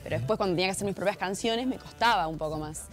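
A young woman in her twenties with an Argentine accent, a singer answering interview questions in a relaxed, chatty way. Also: mid-range voice, very fast, soft background music. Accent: Argentine Spanish. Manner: relaxed, chatty, says "viste" a lot. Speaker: pop singer guest. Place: TV studio set.

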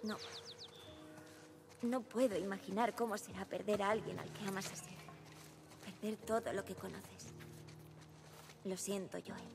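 A woman's voice: medium pitch, steady tone, measured pace, pauses often, natural voice. An actress playing an earnest, spirited teenage girl survivor in a Spanish video game dub, with a Castilian accent and youthful, informal manner. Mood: sympathetic. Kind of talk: dubbed video game dialogue. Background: music.

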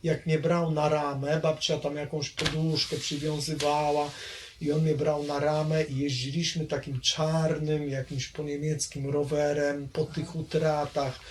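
A middle-aged man from Poland, slow and reflective, recalling his childhood in an oral history interview with many pauses. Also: on the high side, level tone, slight background noise. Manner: hesitant, reflective, trailing off.

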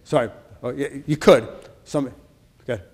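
A man's voice: mid-range voice, normal speed, darkish tone, husky. A middle-aged man, a state senator, giving a casual budget presentation and quizzing his audience in a large function room. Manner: casual, asks the audience questions. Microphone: far camera. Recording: long reverb in a large room.

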